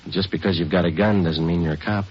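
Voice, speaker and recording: Low-voiced deadpan detective played by an actor. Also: male voice, quick pace, slight background noise, lightly acted. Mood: patient, firm. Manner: terse, deadpan, matter-of-fact. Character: calm, patient male police detective narrating his case. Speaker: police detective and narrator. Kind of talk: 1950s radio police drama, clipped and understated. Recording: dull vintage radio recording with music.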